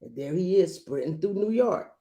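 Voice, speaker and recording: A woman reading a children's picture book aloud, adding gentle explanatory asides for young listeners. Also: lowish voice, expressive, normal speed. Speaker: read-aloud storyteller.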